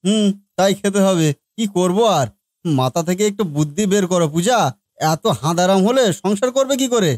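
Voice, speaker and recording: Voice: medium pitch, lively intonation, moderate pace, a little mellow, dry recording, studio quality, natural voice. An actor voicing an exasperated young husband in a comedy cartoon, talking in a reproachful, resigned way. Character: exasperated young husband, office-going son, scolding his wife. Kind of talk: Bengali comedy cartoon dialogue.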